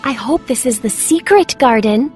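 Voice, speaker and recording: Woman voicing a high-pitched, lonely, sour little girl. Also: expressive, moderate pace, a little mellow, faint music behind, natural voice. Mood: curious. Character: lonely, sour young girl, curious and blunt. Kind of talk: animated children's story acting.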